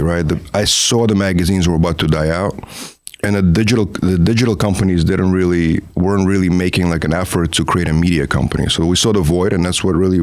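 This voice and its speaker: A man's voice: deep voice, no room sound. A middle-aged man, a documentary filmmaker guest, telling stories in a talkative way full of "you know what I mean.". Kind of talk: casual interview conversation.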